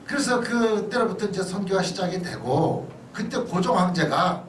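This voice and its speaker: Echoey distant recording, clear background noise, man, high-pitched voice, pastor lecturing conversationally, chatty asides to listeners. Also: animated, moderate pace, a bit bright. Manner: chatty, engages listeners with questions and explanatory asides. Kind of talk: church history lecture, conversational. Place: church hall lecture. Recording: echoey hall, distant mic, noisy background.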